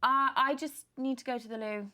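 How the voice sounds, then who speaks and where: An actress playing an awkward young family member, hesitant and self-doubting as she quietly probes for answers. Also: high voice, fairly even intonation, fairly quick, natural voice. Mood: hesitant, wry. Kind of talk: naturalistic screen drama dialogue.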